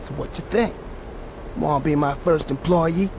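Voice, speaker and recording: A man's voice: fairly high pitch, normal speed, close to an everyday voice. Man acting an ambitious hustler amid street noise. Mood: hopeful, defiant. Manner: streetwise, determined. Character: ambitious, defiant young male street hustler. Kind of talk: video game cutscene acting. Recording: clear outdoor traffic noise.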